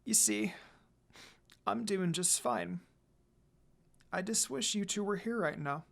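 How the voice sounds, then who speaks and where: A man voicing a lonely, tearful young female heroine in a fan fiction story, plaintive and halting. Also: high-pitched, vocal fry, fairly bright tone, heavily acted. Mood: sad, tender.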